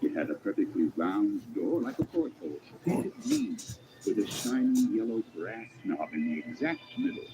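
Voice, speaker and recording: A man narrating a fantasy radio play as a storyteller, measured and expressive, savoring each detail. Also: fairly low pitch, low-quality recording. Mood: storytelling. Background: music.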